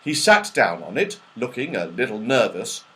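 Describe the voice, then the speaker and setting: A man reading a children's story aloud in an expressive, dramatic storytelling manner. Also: fairly low pitch, moderate pace. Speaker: audiobook reader.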